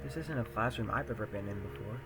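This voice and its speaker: A man playing an anxious, earnest teenage high-school boy who narrates his own thoughts in a visual novel fan dub, musing and questioning himself. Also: quick pace, over music, close to an everyday voice. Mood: groggy, confused. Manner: self-questioning, musing aloud.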